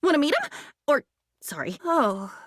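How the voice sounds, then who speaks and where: A woman's voice: high voice, big pitch swings, normal speed, fairly bright tone, no echo, studio quality. A woman voicing a young, earnest, dreamy living object in a video game, whimsical and theatrical, mixing flowery formal words with chatty asides. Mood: playful, sheepish. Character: female living diary, young, earnest, dreamy, newly self-aware. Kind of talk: video game character voice acting.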